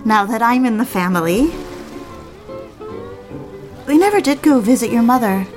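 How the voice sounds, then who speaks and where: A woman playing a romantic, probing newlywed wife in a period audio drama, earnest and gently pleading as she questions. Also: on the high side, expressive, normal speed, music in the background, lightly acted.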